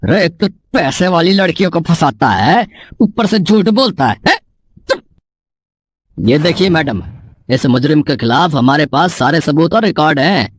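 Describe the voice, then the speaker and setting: A man playing a stern, righteous police inspector in an animated moral story, speaking with accusing authority. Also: on the high side, moderate pace, no echo, studio quality.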